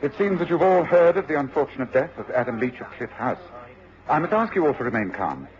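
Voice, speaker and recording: An actor playing a commanding, observant English gentleman detective with a precise, authoritative way of speaking, in a radio drama. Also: on the high side, sing-song, a little fast. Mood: calm, commanding. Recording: dull, noisy old radio recording.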